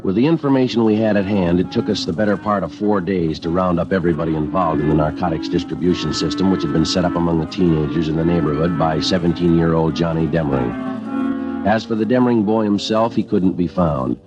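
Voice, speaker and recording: Guy acting a calm terse cop narrator, really deep, old radio drama, muffled recording. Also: a little fast, close to an everyday voice. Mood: matter-of-fact. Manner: deadpan, terse, official. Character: calm, matter-of-fact male police detective narrating his case. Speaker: detective and narrator. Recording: dull old radio recording, music underneath.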